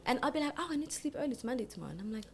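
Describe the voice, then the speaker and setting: A woman's voice: high-pitched, lively intonation, racing, slight background noise. A young woman co-hosting a podcast, talking in a chatty, rambling way with many restarts. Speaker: podcast co-host. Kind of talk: casual chat.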